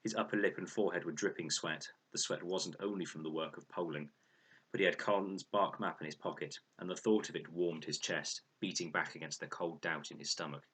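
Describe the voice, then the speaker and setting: A man reading a children's novel aloud as an expressive storyteller. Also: sits low, a little fast, husky. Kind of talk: expressive children's audiobook narration.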